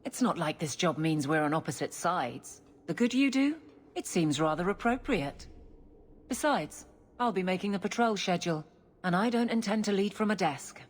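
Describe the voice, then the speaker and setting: A woman's voice: on the low side, lively intonation, moderate pace. A woman playing a steadfast, no-nonsense guard officer in a fantasy game, speaking firmly and earnestly. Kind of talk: dramatic game cutscene acting.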